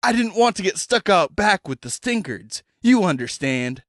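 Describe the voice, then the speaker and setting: A man's voice: high-pitched, very animated, moderate pace, fairly bright tone, no room sound, studio quality, pitched above the performer's own voice. A man voicing a mild, hesitant middle-aged man who is a talking severed head. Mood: earnest.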